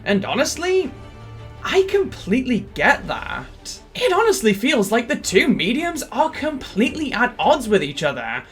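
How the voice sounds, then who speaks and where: A woman hosting a scripted, opinionated film review, with expressive, emphatic delivery and sarcastic asides. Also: a little high, a little slow, faint music behind. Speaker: video essay host.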